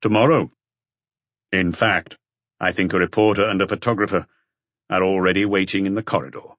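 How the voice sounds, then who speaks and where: A man voicing a weary, measured police inspector in an audiobook, muted and matter-of-fact. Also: low-pitched, steady tone, fairly bright tone, no room sound.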